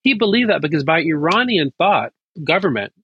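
A man, raised speaking Persian at home, talking in an animated way with quick bursts and restarts as an interview guest. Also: fairly high pitch, slightly rough, no room sound, dull audio. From United States. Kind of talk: casual interview.

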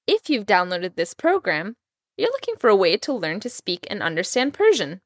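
A young woman raised in Texas, speaking with a Texan American accent, hosting a podcast language lesson with clear, careful pronunciation. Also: mid-range voice, wildly swooping pitch, moderate pace, dry recording, clean studio sound. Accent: American English, Texas. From Iran. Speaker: language teacher, podcast host.

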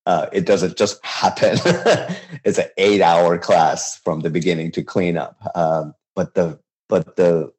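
A middle-aged man from Iran, whose first language is Farsi, speaking as an interview guest in an earnest, halting way with many restarts. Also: low-pitched, darkish tone. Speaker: guest, cooking teacher. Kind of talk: conversational interview.

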